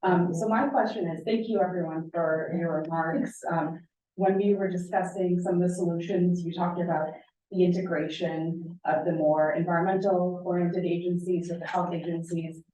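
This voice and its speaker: Low-voiced woman asking from the floor. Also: fairly even intonation, warm tone. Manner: measured, hesitant with fillers. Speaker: audience member asking a question. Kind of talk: conference Q&A question. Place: large conference room. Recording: echoey hall from far off, dull.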